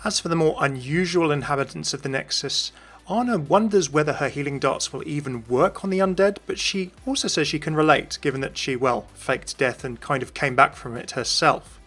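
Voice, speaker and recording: A man's voice: fairly high pitch, moderate pace, a bit bright. A man hosting a video, reading scripted narration. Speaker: video host.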